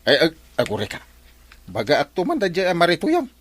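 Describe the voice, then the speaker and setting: Animated, grumbling village man. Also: fairly high pitch, a little fast, breathy edge, heavily acted. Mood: disapproving. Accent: Ilocano. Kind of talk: Ilocano radio comedy drama acting.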